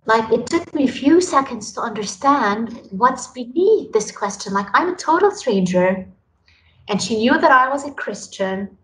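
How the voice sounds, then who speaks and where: A woman from Lebanon, earnestly telling her story in a podcast interview and often repeating words as she starts a sentence. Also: medium pitch. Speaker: radio program director. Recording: echoey room, faint noise.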